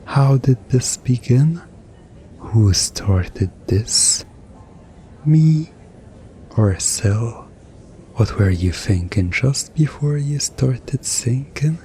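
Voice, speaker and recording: A man playing a mischievous, smugly commanding hypnotist in an ASMR roleplay, soothing and teasing with deliberate pauses. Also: mid-range voice, animated, mellow, a little hiss or hum. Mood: teasing, hypnotic.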